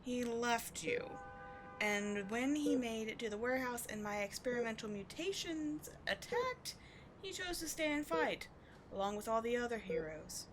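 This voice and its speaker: A woman's voice: fairly high pitch, lively intonation, moderate pace, a little hiss or hum, natural voice, poor audio. A woman playing a sardonic, commanding supervillain in a scripted audio roleplay, biting and contemptuous as she tends a captive sidekick. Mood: wry, cutting.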